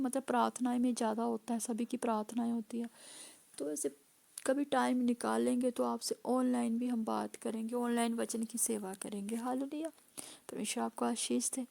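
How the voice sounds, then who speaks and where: A woman pastor preaching a sermon with a hoarse voice, driving points home through repeated phrases and calls of hallelujah. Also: on the high side, a little mellow.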